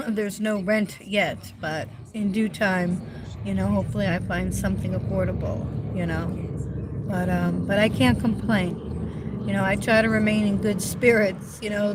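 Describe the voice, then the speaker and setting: A woman with a New Jersey accent chatting casually to her viewers from a moving car, easygoing and grateful, rambling with lots of fillers. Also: mid-range voice. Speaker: vlogger. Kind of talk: casual livestream chat. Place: in a car on the road. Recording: loud road noise from a car. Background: vehicle noise.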